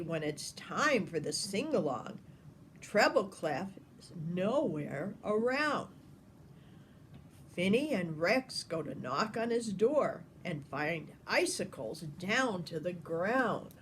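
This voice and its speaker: An older woman reading a children's picture book aloud with expressive, deliberate phrasing, like a grandmother talking to young listeners. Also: fairly low pitch, a little hiss or hum.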